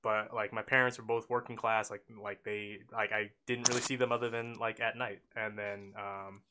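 A man's voice: fairly low pitch, flat delivery, moderate pace, pushed and bright, clear, resonant tone. A young man from Oakland co-hosting a podcast, talking casually in loose, rambling sentences full of fillers and restarts. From Oakland, California. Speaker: podcast co-host.

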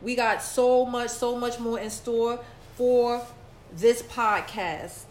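A woman's voice: a little high, fairly even intonation, slow pace, echoey room, a little hiss or hum. A woman in her late thirties co-hosting a podcast, talking casually and saying "like" a lot. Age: 30s. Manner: chatty, repeats herself, says "like" a lot. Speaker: podcast co-host.

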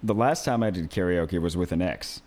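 A tipsy young man co-hosting a podcast, talking in a relaxed way with laughs and swearing. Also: low voice, a little fast. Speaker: podcast co-host. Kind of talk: casual drunken chat.

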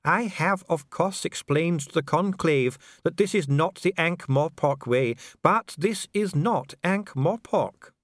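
A man voicing a calm dwarf official with a measured, bureaucratic, smoothly courteous manner in an audiobook. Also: a little high, no echo, clean studio sound, voice pushed up. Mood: coolly polite. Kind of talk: audiobook character voice.

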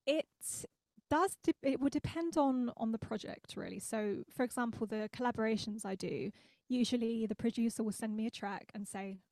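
A young woman songwriter answers interview questions from her home studio. Her voice sits a little high and sounds dry and close on a studio mic. She laughs often and sounds grateful. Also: moderate pace, darkish tone, no room sound. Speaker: singer-songwriter guest. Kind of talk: casual interview chat.

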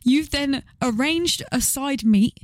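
Chatty young woman, slightly high voice. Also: slow, no room sound, studio quality. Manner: chatty, playful, questioning. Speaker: podcast co-host. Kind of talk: casual chat, reacting to stories.

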